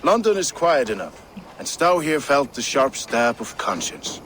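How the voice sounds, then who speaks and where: A man's voice: mid-range voice, very animated, unhurried, a bit bright, a little hiss or hum. An actor playing a friendly male companion in a video game. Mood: glad.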